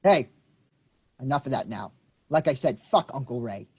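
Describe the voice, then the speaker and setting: An actor reading a quick-tempered young man, combative and swearing in a staged play reading. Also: medium pitch, animated, husky.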